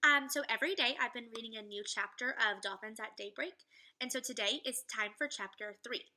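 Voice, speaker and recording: A woman with a high, strikingly bright voice speaks as a primary school teacher. She explains directly to her young pupils in the style of a read-aloud lesson. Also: a little fast. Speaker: second grade teacher.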